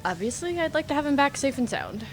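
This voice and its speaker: A woman's voice: high-pitched, very animated, fast. A woman playing a suspicious, blunt young female client of a space crew in a comedic audio drama. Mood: matter-of-fact. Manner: blunt, matter-of-fact.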